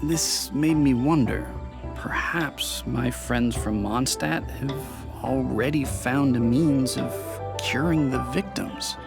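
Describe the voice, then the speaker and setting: A man's voice: medium pitch, slow pace, creaky. A man playing a polite, earnest innkeeper in a video game, speaking formally and courteously with music behind him.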